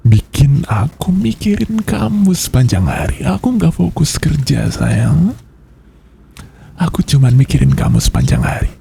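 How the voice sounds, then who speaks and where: A man acts out a possessive yandere boss boyfriend in an ASMR roleplay. His voice is very dark and husky, heavy with breath, and he speaks slowly and close. The tone is coaxing yet controlling, menace wrapped in softness. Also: mid-range voice, exaggerated voice. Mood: obsessive, agitated. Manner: slow, coaxing, controlling, with soft threats.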